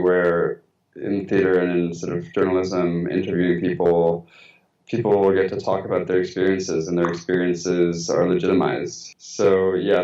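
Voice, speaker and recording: A man's voice: deep voice, darkish tone. A man with an American accent, a guest on a phone-in interview, thoughtful and hesitant with many fillers. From Mexico. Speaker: guest writer. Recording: tinny echoey phone line.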